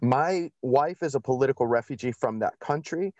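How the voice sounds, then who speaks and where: A man's voice: medium pitch, normal speed, a little mellow, dry recording. Man talking on dull, lo-fi audio. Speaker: podcast guest. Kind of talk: conversational interview storytelling.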